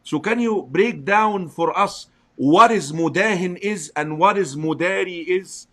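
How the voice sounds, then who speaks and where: A man from Egypt and an Arabic speaker, teaching as a sheikh in English. He explains slowly and recites Arabic verses. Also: high-pitched, fairly bright tone. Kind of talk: religious teaching discussion.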